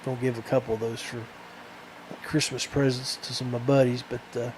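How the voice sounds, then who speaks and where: A man hosting a fishing podcast in a casual, rambling, halting way that often trails off. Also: medium pitch, little pitch movement, a little hiss or hum, rough recording.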